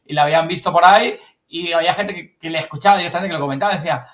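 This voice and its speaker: Fast-talking man from a Spanish chat show. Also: on the high side, fairly bright tone. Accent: Spanish from Spain. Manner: colloquial, mildly sweary. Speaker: podcast speaker recounting an event. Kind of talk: casual chat.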